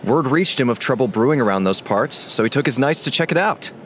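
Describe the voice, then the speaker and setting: A man's voice: mid-range voice, expressive, fairly quick, a little hiss or hum, natural voice. A man voicing a friendly male tavern owner in a video game, talking in an easygoing, wry, amused way. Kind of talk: game voice acting. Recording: game dialogue over background music.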